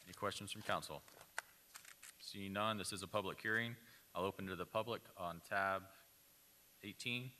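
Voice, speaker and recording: A man presides over a city council meeting from a desk mic in the chamber. His voice is lowish yet very bright and forward. He moves through motions and hearings in brisk, practiced phrasing. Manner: brisk, routine procedural phrasing. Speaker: mayor chairing a council meeting. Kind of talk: formal meeting procedure. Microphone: desk microphone.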